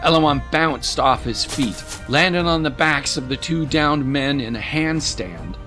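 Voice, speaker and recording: A man delivering dramatic scripted narration for an audio drama in a measured, descriptive storytelling manner. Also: a little high, pushed and bright. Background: music bed.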